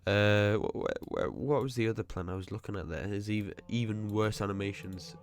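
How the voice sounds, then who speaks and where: A man's voice: lowish voice, dry recording. A man with a cold and a blocked, nasal voice, chatting casually while commenting on a game he is playing. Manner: nitpicking, chatty, quick asides. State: stuffy nose from a cold. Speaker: gaming video host.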